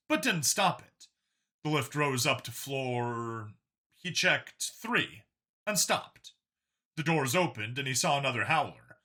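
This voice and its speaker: A man's voice: medium pitch, sharp tone, slightly rough. A man reading a science fiction story as a dramatic scripted narration. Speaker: audiobook narrator.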